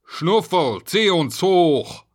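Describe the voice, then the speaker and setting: A man voicing a gruff, brusque caretaker in a children's story. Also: medium pitch, sing-song, unhurried, pushed and bright.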